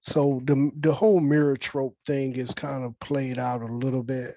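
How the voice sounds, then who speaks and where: A man's voice: medium pitch, level tone, normal speed, dark tone, no room sound. An American man leading a script discussion, talking casually and conversationally and often checking that listeners follow. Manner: conversational, often checks with "you know what I'm saying". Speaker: scriptwriter leading a virtual table read. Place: indoors on a video call.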